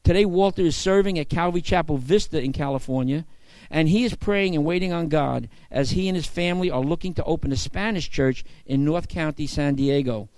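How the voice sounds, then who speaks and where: A man from the church introducing a guest speaker in an earnest, measured read. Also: fairly high pitch, darkish tone, no room sound, poor audio.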